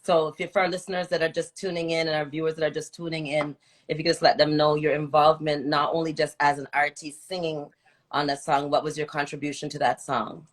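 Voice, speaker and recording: A woman's voice: low-pitched, little pitch movement, fast. Woman hosting a casual music interview. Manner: repeats phrases, interjects encouragement. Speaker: radio show host interviewing a musician.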